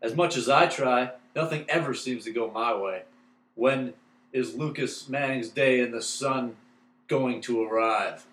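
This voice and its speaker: A man's voice: mid-range voice, measured pace, a bit bright, lightly acted. Echoey, world-weary bartender, played by a man. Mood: wistful, melodramatic. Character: world-weary, helpful 1930s bartender dispensing advice. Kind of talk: old-time radio comedy acting. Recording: roomy, echoing room sound.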